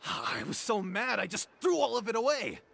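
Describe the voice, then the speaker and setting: Casting: cowardly bandit, mocking and expressive. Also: medium pitch, normal speed, creaky, heavily acted. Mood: pleading. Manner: taunting, then pleading. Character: cowardly young bandit, sneering. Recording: game audio with music underneath.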